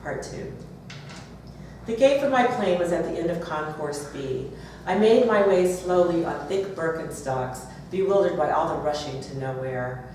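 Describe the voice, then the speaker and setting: Woman author, low voice, live reading event, distant from the mic, noisy background. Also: lively intonation, measured pace, a little mellow, very echoey. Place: bookstore, audience event.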